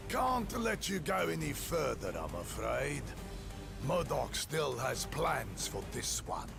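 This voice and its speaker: A scheming video game villain with a very gravelly voice, taunting and menacing, who speaks slowly and swings wildly in pitch. A very loud score booms behind him. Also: male voice, sing-song, over music, exaggerated voice. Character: scheming male villain craving a throne.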